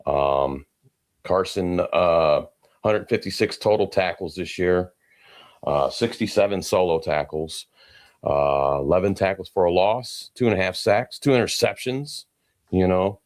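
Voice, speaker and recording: Deep-voiced co-host with a stuffy nose. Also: male voice, measured pace, a little mellow, no room sound, studio quality. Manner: laid-back, reels off stats. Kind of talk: casual sports chat. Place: podcast studio.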